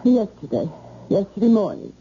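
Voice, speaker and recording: Casting: actress for questioning, bewildered wife, mellow timbre, muffled hissy old radio play. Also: female voice, medium pitch, very animated, moderate pace, natural voice. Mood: bewildered, insistent. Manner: insistent, questioning. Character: bewildered, insistent wife demanding answers. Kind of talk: old-time radio drama acting.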